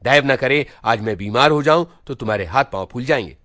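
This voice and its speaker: A man's voice: mid-range voice, expressive, brisk, pushed and bright, dry recording. A man voicing a stern elder brother in a story reading, a schoolboy who lectures his junior with scolding, emphatic moralizing and rhetorical questions. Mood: earnest lecturing.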